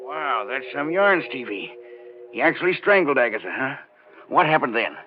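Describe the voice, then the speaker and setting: An actor playing an impressed, curious pal listening to a grisly tale, casual, in 1940s radio drama style. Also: male voice, medium pitch, very animated, normal speed, close to an everyday voice. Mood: intrigued. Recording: muffled old radio recording with music.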